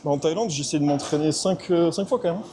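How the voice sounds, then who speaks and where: A man hosting a casual vlog chat in a large gym, upbeat and joking with quick interjections. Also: on the high side, dark tone, slight background noise. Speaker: host, business coach.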